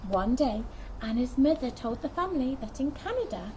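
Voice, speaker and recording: A woman's voice: high voice, normal speed. A young woman reading a children's story aloud expressively, acting out the dialogue like a primary school teacher. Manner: animated, acts out dialogue. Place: outdoors in a garden by a wooden fence. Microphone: camera a short distance away. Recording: noisy outdoor recording with clicks.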